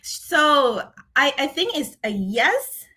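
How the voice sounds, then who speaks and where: A woman's voice: high voice, big pitch swings, takes it slowly, sharp tone. A woman with a Thai accent, a guest on an interview podcast, speaking in an earnest, reflective way and laughing often. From Thailand. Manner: earnest and reflective, laughs often, repeats words while thinking. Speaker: guest, decision scientist and speaker.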